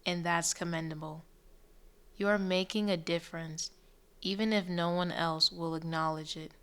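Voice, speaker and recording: A woman playing a calm, supportive therapist in a radio drama, speaking in a measured, earnest, reassuring way. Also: on the low side, clear, resonant tone, lightly acted. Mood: gentle encouragement. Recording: music bed under the voice.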